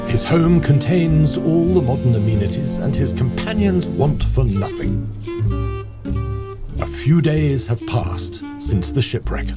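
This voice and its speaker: A man narrating an audio drama in a measured, theatrical storytelling manner. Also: medium pitch, big pitch swings, over loud music.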